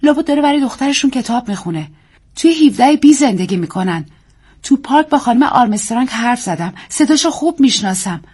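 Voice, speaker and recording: Woman doing an excitable young housewife, high and urgent. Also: husky. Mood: insistent. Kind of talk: audiobook story reading.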